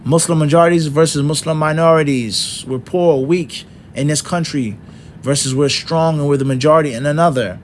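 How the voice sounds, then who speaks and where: Emphatic male lecturer, higher voice. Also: normal speed, a little hiss or hum. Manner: emphatic, lists points one by one with rhetorical questions. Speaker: Islamic teacher.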